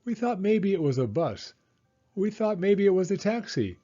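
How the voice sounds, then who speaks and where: A bold, adventurous little girl from a storybook, voiced by a man, eager and insistent. The pitch is lifted and lively, with a slightly dull recording. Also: normal speed, soft background music. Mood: explaining, excited.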